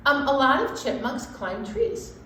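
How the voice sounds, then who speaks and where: A woman with a slightly high, very expressive voice co-hosts a children's storytime. Her talk is casual and conversational. The room echoes heavily around her. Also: measured pace. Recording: very echoey room with background noise.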